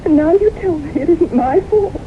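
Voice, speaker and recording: A woman's voice: very high voice, animated, normal speed. An actress playing a fearful, fervent young woman haunted by death, in an intense theatrical style of 1970s radio drama. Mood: challenging, agitated. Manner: intense, theatrical, emotive delivery. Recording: noisy, muffled old radio broadcast recording.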